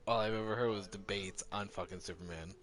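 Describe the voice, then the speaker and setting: A man's voice: lowish voice, steady tone, a little fast, a bit bright. A man chatting casually while he plays a game. He swears constantly, laughs often and restarts his words as he rants. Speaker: streamer. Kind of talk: casual chat while gaming.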